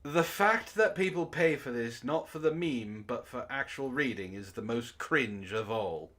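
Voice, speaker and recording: A man hosting a comedy book club, reading aloud and bantering casually in an animated, mock-dramatic way, prone to laughing. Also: mid-range voice, measured pace.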